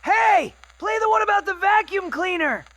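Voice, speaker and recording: An actor playing a reckless, enthusiastic wasteland scavenger who talks in excited exclamations. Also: male voice, extremely high pitch, normal speed, dry recording, raised voice.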